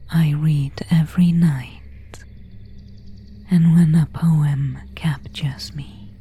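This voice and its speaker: A woman voicing an elegant, melancholy vampire in an ASMR roleplay, in a breathy whisper with formal, old-fashioned phrasing. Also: low voice, warm tone, exaggerated voice. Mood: softly courteous, wistful. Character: pale, elegant, melancholy female vampire of an ancient castle.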